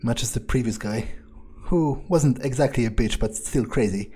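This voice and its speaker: A man's voice: medium pitch, normal speed, dark tone, breathy edge. A man giving in-character commentary on a game playthrough, musing casually and swearing freely as he goes. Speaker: gamer role-playing his character aloud.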